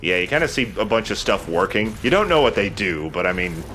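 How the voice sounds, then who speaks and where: Bright-voiced low man, sardonic gamer. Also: sing-song, fairly quick, slight background noise. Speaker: let's play host playing the game. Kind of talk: casual joking gameplay commentary.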